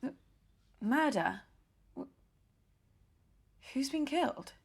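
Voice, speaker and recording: An actress playing an anxious young niece in an interactive film, speaking hesitantly with stammers. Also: female voice, high-pitched, lively intonation, slow. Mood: shocked. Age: young adult. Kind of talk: naturalistic film dialogue. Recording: background music under the voice.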